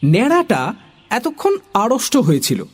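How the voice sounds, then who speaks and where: A narrator telling a children's comic story in an animated scripted style, with a husky voice. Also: medium pitch, normal speed, very noisy.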